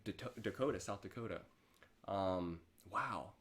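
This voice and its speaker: A man's voice: low voice, unhurried. Laid-back young man teasing on a livestream. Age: young adult. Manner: laid-back, teasing, often trails off quietly. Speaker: livestream co-host. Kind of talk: casual live-stream chat reading viewer comments.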